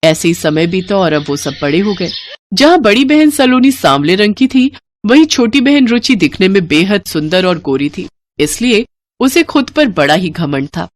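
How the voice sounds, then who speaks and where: A woman narrating a scripted moral story in an expressive, dramatic storytelling manner. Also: medium pitch, moderate pace, dry recording.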